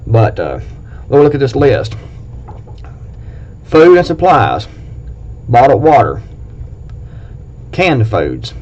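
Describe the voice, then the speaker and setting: A man's voice: medium pitch, big pitch swings, takes it slowly, long pauses, darkish tone. A man with an Appalachian accent who hosts a prepping channel, talking casually to camera in a blunt, plain-spoken and rambling way. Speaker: prepping video host. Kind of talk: casual talk to camera, reading a list. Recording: dull lo-fi recording.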